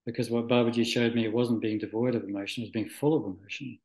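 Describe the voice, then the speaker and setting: Male interview guest, from Scotland and New Zealand, medium pitch, thoughtful and halting, recorded in an echoey room. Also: fairly even intonation, darkish tone. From Scotland, moved to New Zealand at nine. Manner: halting, thoughtful, searching for words. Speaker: interview guest, spiritual practitioner. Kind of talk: reflective, conversational interview answers.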